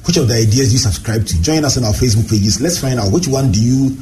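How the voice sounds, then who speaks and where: A man's voice: mid-range voice, expressive, quick pace, warm tone, slight background noise. A man hosting a talk show, chatting with guests and prompting them with questions. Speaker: host.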